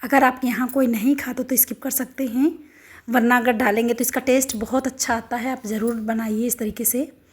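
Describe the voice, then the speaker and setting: A middle-aged woman with a hoarse, sore throat, walking through a home recipe step by step in a homely, instructive way. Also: fairly high pitch, steady tone, fairly quick, a little mellow. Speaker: cooking channel host. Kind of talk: home cooking demonstration.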